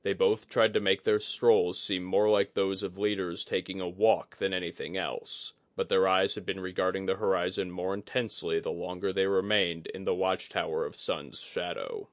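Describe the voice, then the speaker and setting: A man with a fairly low voice narrates fantasy fiction. It is a scripted audiobook read. The sound is dry, with very little room in it. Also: no room sound.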